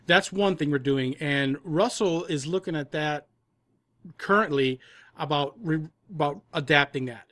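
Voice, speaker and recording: A man's voice: a little high, measured pace, a bit bright, no room sound, clean studio sound. A man explaining his work as a guest in a casual interview, repeating words for emphasis. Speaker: audio drama producer, podcast guest.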